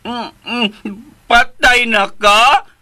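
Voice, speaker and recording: A man playing a childlike, simple-minded young man in a Filipino radio drama, with halting babyish speech full of hums and a Filipino accent. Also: very high voice, fairly even intonation, exaggerated voice. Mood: possessive, stubborn.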